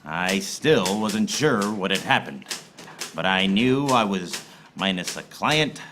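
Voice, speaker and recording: An actor playing a wry, bumbling private eye in a noir comedy radio serial, deadpan and given to tongue-twisting alliteration. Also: male voice, deep voice, slow. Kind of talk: film noir comedy radio serial acting, narrating and in dialogue. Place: theater stage, performed live. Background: music.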